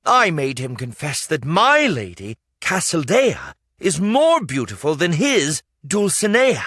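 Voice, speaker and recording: A man reading a storybook, voicing a proud, boastful male knight who declaims loudly. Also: on the high side, big pitch swings, measured pace, no echo, studio quality, voice pushed up, exaggerated voice.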